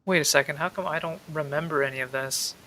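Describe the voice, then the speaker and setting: A man's voice: on the high side, fast, bright, forceful tone. Young man casually reacting to a game. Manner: informal, puzzled reactions in short bursts. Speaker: gaming streamer. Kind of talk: casual playthrough commentary.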